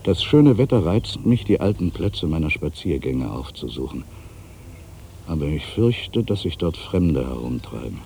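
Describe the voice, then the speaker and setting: An actor playing an aging, weary writer in a literary monologue, brooding and wry, with a gravelly voice and deliberate, weighted pauses. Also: male voice, rumbling low, sing-song, dark tone, slight background noise, heavily acted. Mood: wry, wistful. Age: older.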